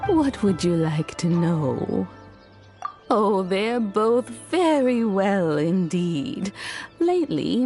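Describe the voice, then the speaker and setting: A kindly older retainer with a fairly high, swooping voice and an unhurried pace. She sounds genteel and polite, a gentle gossip from a video game.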